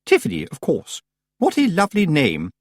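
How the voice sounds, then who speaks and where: A man voicing a pompous, falsely polite middle-aged woman witch with a high, sugary voice. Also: wildly swooping pitch, normal speed, no room sound, studio quality. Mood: falsely sweet. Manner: falsely polite, gushing, grave.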